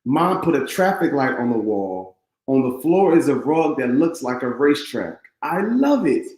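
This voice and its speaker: A man's voice: medium pitch, sing-song, normal speed, darkish tone, lightly acted. Man reading as eager young boy, echoey room. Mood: excited. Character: excitable eleven-year-old boy, affectionate mama's boy narrating. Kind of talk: read-aloud children's storybook. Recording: roomy echo.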